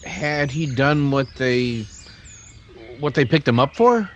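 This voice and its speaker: A man playing a gentle, troubled townsman in a radio western, speaking thoughtfully and hesitantly. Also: medium pitch, lively intonation, slow pace, lo-fi sound, a little hiss or hum. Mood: troubled, pensive. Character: troubled, gentle, conscientious townsman riding with posse. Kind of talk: old-time radio western acting.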